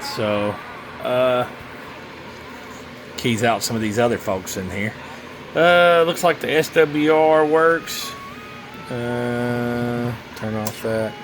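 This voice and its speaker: A man's voice: medium pitch, lively intonation, takes it slowly, long pauses, music in the background. A man giving a casual, rambling hands-on product demo, muttering to himself as he goes. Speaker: radio seller demonstrating a CB radio.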